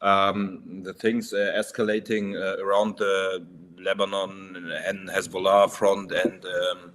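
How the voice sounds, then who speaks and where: A man from Germany of Turkish origin, chatting casually and haltingly as a guest on a video call. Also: sits low, level tone, fairly bright tone. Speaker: first-time open-mic guest. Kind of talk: casual chat. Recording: noisy live stream line, clicks.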